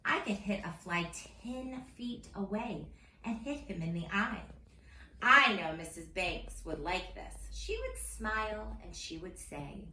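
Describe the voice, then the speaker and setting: A woman reads a picture book to children with big, swooping expression and a bright, forceful voice. She takes her time and plays up the silliness. The room rings a little around her. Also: medium pitch, a little slow. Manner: silly, theatrical, expressive. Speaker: teacher reading story time. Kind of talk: animated children's storybook read-aloud. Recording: roomy echo with faint background noise.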